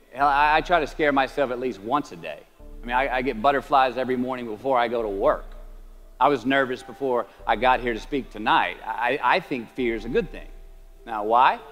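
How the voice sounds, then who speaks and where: A man's voice: mid-range voice, a bit bright. A man delivers a motivational speech, speaking plainly and conversationally. He puts questions to his audience as he goes. Faint music plays underneath.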